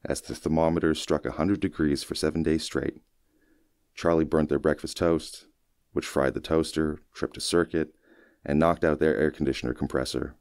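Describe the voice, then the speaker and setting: A man with an extremely low voice narrates a short story in the first person. His delivery is measured and folksy, like scripted storytelling for an audiobook. The recording is dry and close, with no room sound. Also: a little mellow, no room sound.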